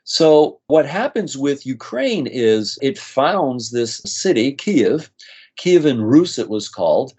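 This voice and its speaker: A middle-aged man with a slight American accent, a nurse practitioner guest telling stories in a relaxed interview with frequent "uh" fillers. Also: mid-range voice, slow pace, husky. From United States. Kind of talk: conversational interview storytelling.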